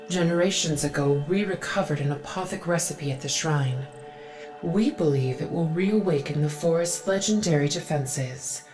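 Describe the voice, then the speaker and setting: An actress playing a reverent, solemn woman devoted to a sacred forest, speaking in a measured, devout way in a video game. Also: on the low side, a little mellow, natural voice. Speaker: quest-giver. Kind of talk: dramatic video game voice acting.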